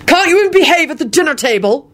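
Storybook role: woman playing grumpy father, gruff, quick to bellow, widely swinging pitch. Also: sing-song, lightly acted. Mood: bellowing anger. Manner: gruff, thundering, swearing.

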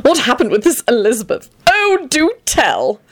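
A woman voicing a meek but avid, gossip-hungry male wizard in a story, eager and excitable. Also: high voice, normal speed, pitched above the performer's own voice.

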